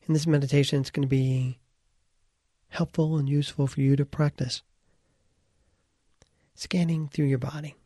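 A man leading a guided meditation as its teacher, speaking in short, deliberate phrases with pauses and a gravelly, husky voice. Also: on the high side, mellow, no room sound, studio quality.

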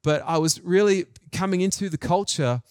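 A middle-aged man with an Australian accent preaches in an animated, conversational way, telling personal stories in colloquial language. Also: sits high, normal speed, dry recording, studio quality. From New Zealand and Australia.

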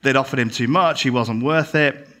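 Brief: male podcast guest, bright forceful tone, animated storyteller. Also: mid-range voice, moderate pace. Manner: lively anecdotal storyteller, acts out quotes. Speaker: guest, recruitment business owner. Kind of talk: casual podcast chat.

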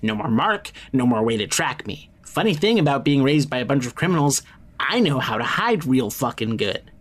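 An actor in an audio drama playing a defiant, cornered young man from a criminal family, blunt and halting. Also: medium pitch, big pitch swings, fairly bright tone.

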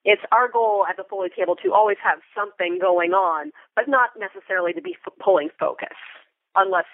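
A woman's voice: mid-range voice, fairly quick, no room sound. A middle-aged American woman speaking as an interview guest in articulate, wordy conversation over a phone-like connection. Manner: wordy, articulate phrasing with vivid examples. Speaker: interview guest, theater Foley artist. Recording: band-limited phone-like line.

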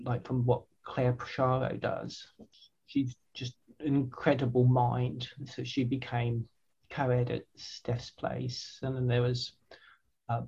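A woman's voice: a bass voice, vocal fry, warm tone, breathy edge, highs cut off. An older woman, earnestly answering interview questions with frequent restarts and repeated words. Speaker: website founder, guest.

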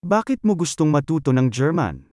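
Lively higher-voiced man reading phrases. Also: brisk, no room sound, studio quality. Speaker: Filipino phrase reader.

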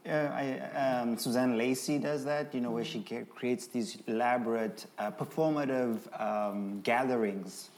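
A man's voice: medium pitch, a little slow, hardly any pauses, a little mellow. A man on a discussion panel, reasoning aloud with frequent ums and restarts. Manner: hesitant, many ums and restarts while reasoning.

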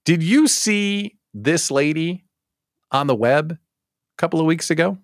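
A man hosting a casual live show, talking straight to viewers in an animated, theatrical way with exaggerated emphasis. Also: fairly high pitch, measured pace, dry recording, studio quality. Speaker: host of a daily live show for small business owners.

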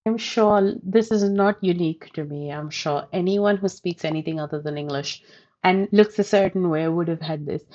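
A woman in her thirties from India with a softened Indian accent, reflecting thoughtfully in an interview, hedging often. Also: medium pitch, highs cut off. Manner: thoughtful, hedging, with light laughs. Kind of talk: reflective personal storytelling.

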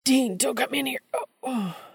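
A woman voicing a flustered, embarrassed young man with a strained, breathy, whining delivery in an audio story. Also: high voice, moderate pace, dry recording, clean studio sound, pitched above the performer's own voice, heavily acted. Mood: panicked.

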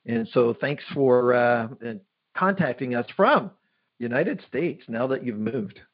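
Male podcast host, mid-pitched, deliberate sign-off, lo-fi audio. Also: lively intonation. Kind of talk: podcast interview and outro.

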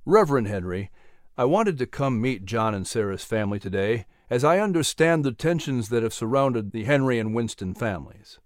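A man playing a gracious young preacher in a storybook, speaking plainly, gently and sincerely. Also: mid-range voice, lively intonation, moderate pace, no echo, clean studio sound, lightly acted. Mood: humble, earnest. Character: humble, earnest, gracious young male preacher.

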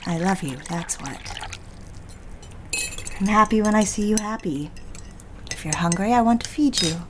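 A woman's voice: mid-range voice, lively intonation, moderate pace, a little hiss or hum, lightly acted. A woman playing a devoted, dreamy young woman in love in an intimate first-person audio drama. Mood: tender, eager.

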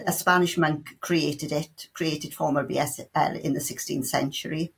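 A woman's voice: low-pitched, fairly even intonation, moderate pace, gravelly, breathy edge. A middle-aged woman with a South Welsh accent, a sign language tutor answering interview questions in a chatty way, full of restarts and playful asides. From South Wales.

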